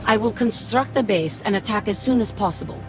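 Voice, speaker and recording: A woman's voice: mid-range voice, a little fast, music in the background, lightly acted, poor audio. A woman playing a disciplined military mech commander in a video game, giving formal, clipped radio reports. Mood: businesslike, focused.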